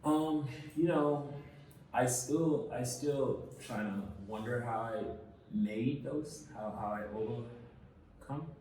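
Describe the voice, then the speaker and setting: A man from Senegal, a guest in an interview, telling his story casually with many pauses, fillers and restarts. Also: mid-range voice, mellow. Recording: echoey room, mic at a distance, faint noise.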